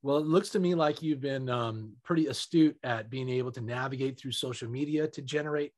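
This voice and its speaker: A man's voice: medium pitch, fairly quick, a little mellow. A man hosting an interview, upbeat and encouraging, who often says "you know what I mean". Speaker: interview host. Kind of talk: casual interview.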